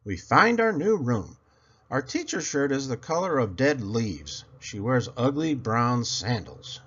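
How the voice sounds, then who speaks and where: Very animated, unhurried male storybook reader. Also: mid-range voice, breathy edge, muffled recording. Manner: expressive storytelling. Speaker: picture book reader. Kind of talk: children's read-aloud, scripted narration.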